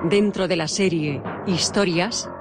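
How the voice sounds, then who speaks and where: A woman's voice: lowish voice, measured pace. A woman with a Spain accent reading scripted radio credits as an announcer. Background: loud music.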